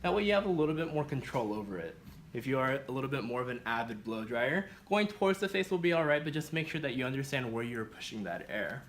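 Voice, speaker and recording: A man's voice: mid-range voice, big pitch swings, quick pace, noisy background. A man teaching as a barbering instructor, explaining a practical demonstration step by step.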